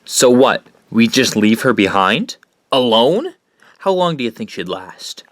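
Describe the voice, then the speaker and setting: A man's voice: mid-range voice, very animated, unhurried, a bit bright, no room sound, studio quality. An actor playing an earnest, loyal, troubled teenage boy with a sincere, plain-spoken way of talking in an audio drama. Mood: defiant. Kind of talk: conversational audio drama acting.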